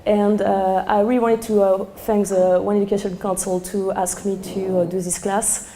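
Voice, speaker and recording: A middle-aged woman with a strong French accent, a sommelier speaking live to a seminar room, hoarse from losing her voice and chatty with many fillers. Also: mid-range voice, little pitch movement, normal speed, warm tone. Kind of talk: live talk to a seminar audience.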